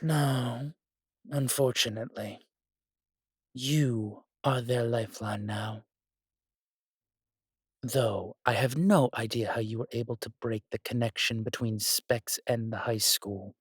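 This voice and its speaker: A man playing a blunt, protective male spirit in an audio drama, with a husky voice and a matter-of-fact delivery. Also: medium pitch, steady tone, slow, long pauses, no echo, studio quality. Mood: grave warning. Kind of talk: audio roleplay acting.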